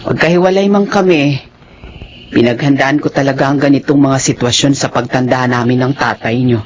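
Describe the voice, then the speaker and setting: An actor voicing a gentle, devout older stepmother in a radio drama, soft-spoken and pious. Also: mid-range voice, a little fast, close to an everyday voice. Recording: dull lo-fi radio recording. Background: faint music.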